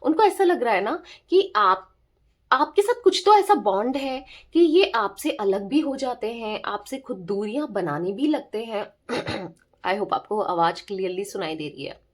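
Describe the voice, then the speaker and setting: Hoarse woman giving a chatty tarot reading. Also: mid-range voice, expressive, measured pace. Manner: chatty, keeps checking in with listeners. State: hoarse, sore throat. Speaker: tarot reader. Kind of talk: casual tarot reading to viewers.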